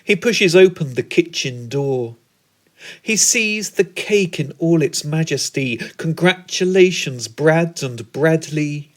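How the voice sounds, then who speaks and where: A man reciting a scripted comic story poem in a measured, theatrical way. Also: a little high, lively intonation. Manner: measured, theatrical, deliberate delivery. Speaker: spoken word performer, storyteller. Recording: music under the voice.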